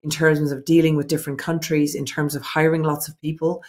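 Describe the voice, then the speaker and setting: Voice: mid-range voice, level tone, a little fast, a little mellow. A business owner answering interview questions earnestly and reflectively, working through ideas point by point.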